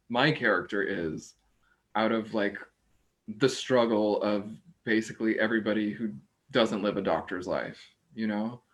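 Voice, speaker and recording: A man talking casually as an actor on a discussion panel, searching haltingly for words. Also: on the low side. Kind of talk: casual post-show talk.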